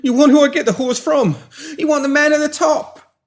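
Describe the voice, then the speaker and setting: A man's voice: very high-pitched, fairly quick, pitched above the performer's own voice. A man voicing a seedy male pimp in an audiobook story, breathless, pleading and babbling in desperation. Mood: desperate, babbling.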